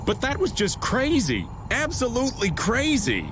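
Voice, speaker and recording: Grumbling, theatrical middle-aged rogue. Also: male voice, medium pitch, sing-song, normal speed, noisy background. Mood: exasperated. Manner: wry, folksy, complaining. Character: grumbling middle-aged man, theatrical, playfully self-pitying. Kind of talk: video game voice acting.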